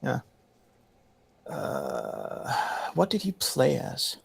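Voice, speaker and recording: A man's voice: mid-range voice, wildly swooping pitch, drawn-out words, vocal fry, breathy edge. A man from Denmark, chatting casually on a podcast panel, rambling and swearing offhandedly. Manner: rambling, looks things up aloud, swears casually. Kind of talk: casual chat.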